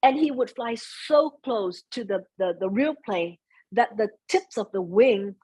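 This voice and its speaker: A soft-spoken middle-aged woman speaking as a guest in an interview, chatting about family, sometimes slipping into Vietnamese phrases. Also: fairly high pitch, animated, a little slow, husky. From United States. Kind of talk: conversational interview.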